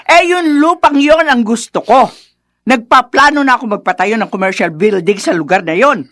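A woman's voice: fairly high pitch, animated, moderate pace, a bit bright, no echo, studio quality. A Filipino woman playing a greedy, spoiled adult daughter in a radio drama, whining and indignant in her demands. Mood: indignant, scornful.

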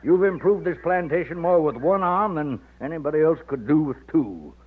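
Middle-aged Texas colonel, blustery, paternal, very lively intonation, old radio drama, narrow tinny band. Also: male voice, fairly high pitch, moderate pace, slightly rough. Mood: hearty, fond. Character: hearty, commanding fifty-two-year-old Texas plantation colonel. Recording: muffled vintage radio recording.

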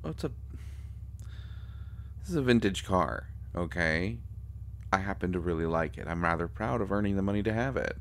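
A man playing an immortal vampire doctor in an intimate audio roleplay, a fussy, protective friend who scolds with fond, halting exasperation. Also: low-pitched, animated, slight background noise. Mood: dry, defensive.

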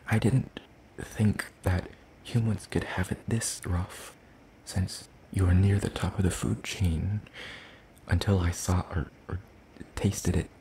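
A man voicing a hungry, soft-spoken demon in a hushed ASMR roleplay, halting and deliberate, sinister yet apologetic. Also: low voice, gravelly, slight background noise, full character voice, rough recording. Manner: slow, halting, hushed delivery with deliberate pauses.

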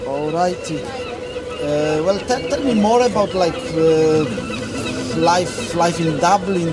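A man's voice: on the high side, slow pace, heavy background noise. A man interviewing on a busy city street, asking questions hesitantly with many restarts. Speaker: vlogger interviewer.

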